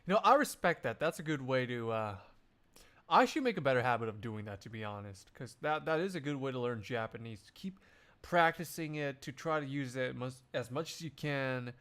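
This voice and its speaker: A man's voice: mid-range voice, expressive, brisk, fairly bright tone. A man chatting casually as a live streamer. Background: music.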